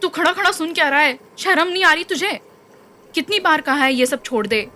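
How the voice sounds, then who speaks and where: A woman's voice: high voice, fairly quick, pushed and bright. A woman playing an anxious, scolding middle-aged mother in a Hindi audio drama.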